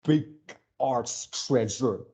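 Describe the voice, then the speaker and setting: A man giving casual fan commentary as a video creator, halting as he repeats words and searches for phrasing. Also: mid-range voice, mellow, husky. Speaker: video creator reacting to a game series.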